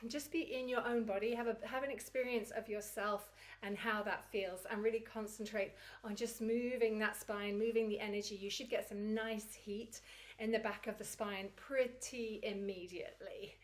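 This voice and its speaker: A woman with a croaky, recovering voice teaching a Kundalini yoga routine in a chatty, encouraging way. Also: mid-range voice, fairly even intonation, moderate pace. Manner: chatty and encouraging, laughs easily. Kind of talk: guided yoga class instruction.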